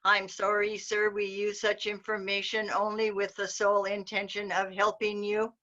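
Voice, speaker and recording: A woman's voice: medium pitch, monotone, moderate pace, pushed and bright, natural voice. A woman voicing a polite, all-knowing pizza order clerk in a readers theater skit over a video call. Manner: polite, unflappable and coolly formal. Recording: dull lo-fi video call audio.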